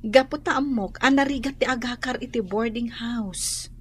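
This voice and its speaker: A woman plays an aggrieved landlady in an Ilocano radio drama, firm yet forbearing. Her voice is fairly high, with an Ilocano accent and a measured, reproachful delivery. There is clear noise behind her. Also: natural voice. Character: aggrieved, firm but forbearing woman who owns the house.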